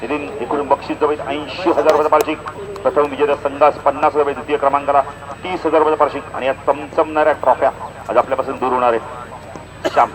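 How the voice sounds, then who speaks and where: A man giving live play-by-play cricket commentary in an animated announcer's delivery, with punchy stock phrases. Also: medium pitch, fast talker. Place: outdoor cricket ground. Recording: outdoor event PA with crowd noise. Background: crowd and outdoor event noise.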